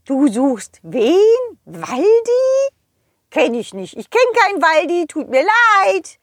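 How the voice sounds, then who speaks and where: A woman voicing a small, excitable, silly male bird with chirping interjections in a children's audio story. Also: sits high, slow, no room sound, clean studio sound, full character voice. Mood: chatty, confused. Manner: chirping interjections, giddy exclamations.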